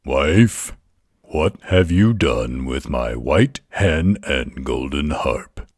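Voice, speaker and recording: A man voicing a menacing storybook giant with a growling, gravelly, commanding voice. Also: rumbling low, expressive, very slow, dry recording, clean studio sound, full character voice. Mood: angry.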